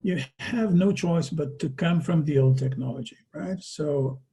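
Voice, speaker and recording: A man's voice: a little high, unhurried, vocal fry, mellow. A man with a Portuguese accent, a native Portuguese speaker, giving a conversational presentation over a video call and often ending his points with a tag question. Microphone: video call microphone.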